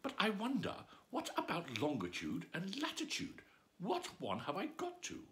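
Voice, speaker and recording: Brief: curious chatty young girl, put on by a man, bright tone, pitch swooping all over as she muses aloud. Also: wildly swooping pitch, moderate pace, full character voice. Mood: wondering. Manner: wondering, musing aloud.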